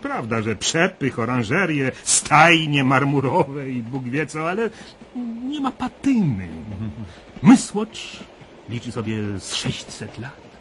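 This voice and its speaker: A man's voice: medium pitch, big pitch swings, slow, fairly bright tone. Chatty local man, faint noise behind. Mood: boastful, relishing. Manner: talkative, emphatic, colloquial. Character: chatty, folksy local man, proud castle gossip. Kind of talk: 1990s Polish radio drama acting. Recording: radio play with background noise.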